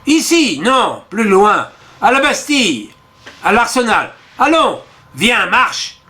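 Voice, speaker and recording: A man voicing a furious revolutionary mob, with shrill, bellowing cries for death. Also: expressive, a little slow, bright, forceful tone, full character voice. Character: furious shouting revolutionary mob, men and women.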